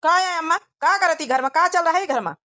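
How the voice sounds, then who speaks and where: A woman's voice: extremely high pitch, fairly quick, pushed and bright. A woman voicing a practical grown village son and husband in a cartoon comedy, speaking firmly and matter-of-factly with an Awadhi accent. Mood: firm, grumbling.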